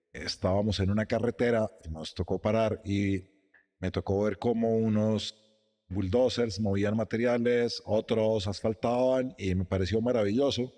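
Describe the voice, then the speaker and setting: A middle-aged man, a company president, telling his story in a measured, reflective way during an interview in his office. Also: on the low side, a little mellow, in a large hall. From Costa Rica, raised in Guatemala and Colombia.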